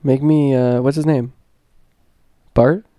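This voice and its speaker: A man's voice: medium pitch, fairly even intonation, takes it slowly, dark tone, no room sound, clean studio sound. A young man co-hosting a podcast, slangy and rambling, whose voice sounds congested. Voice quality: congested, nasal. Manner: slangy and rambling, heavy on filler words. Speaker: podcast co-host. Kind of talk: casual podcast banter.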